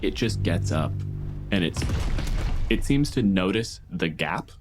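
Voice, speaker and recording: A man's voice: low voice, expressive, a little slow. A man narrating a tabletop roleplaying game as game master, moving between dramatic scene-setting and casual banter. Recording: music bed under the voice. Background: clear background music.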